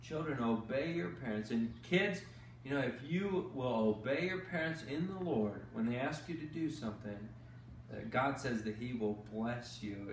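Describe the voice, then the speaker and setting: A man hosting bedtime Bible stories for children, teaching gently with exaggerated, kid-friendly questions and repeated phrases. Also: medium pitch, far from the mic. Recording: echoey room, off-mic, faint noise.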